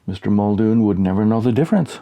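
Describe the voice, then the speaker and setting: Low, mellow, creaky male storyteller. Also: animated, fast talker. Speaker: audiobook narrator. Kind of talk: scripted narration of a humorous short story.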